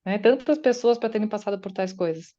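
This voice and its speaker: Woman, guest speaker at an online faith meeting: chatty, voices her listeners' doubts, mellow tone, dull call sound. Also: medium pitch, a little fast. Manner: conversational, acts out listeners' doubts in quotes. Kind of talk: faith-based motivational talk. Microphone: video call.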